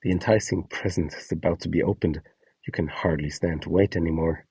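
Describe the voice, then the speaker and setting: A man's voice: low voice, steady tone, a little fast, mellow, breathy edge, dry recording, rough recording. A man presenting a scripted guide, announcing prompts and describing short example scenes. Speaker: presenter of an audio donation guide.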